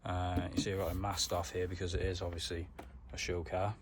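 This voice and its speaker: Low, flat-voiced man, casual DIY tutorial. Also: fairly quick, noisy background. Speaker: DIY car mechanic vlogger. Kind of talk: casual hands-on tutorial narration.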